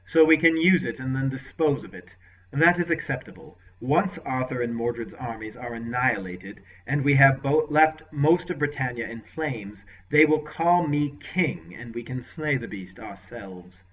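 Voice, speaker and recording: A ruthless, venomous lord, played by a male audiobook reader, barks orders with cold, imperious contempt. His voice is pushed louder than the narration, bright and a touch husky. The recording sounds somewhat dull and lo-fi. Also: mid-range voice, expressive, moderate pace, pitched above the performer's own voice. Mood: imperious scheming. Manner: commanding, contemptuous. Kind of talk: audiobook character voice.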